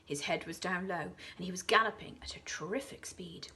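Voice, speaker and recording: English teacher reading a storybook aloud. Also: female voice, fairly low pitch, fairly quick. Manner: expressive, dropping to hushed whispers for suspense. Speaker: infant school teacher. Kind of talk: children's storybook read-aloud.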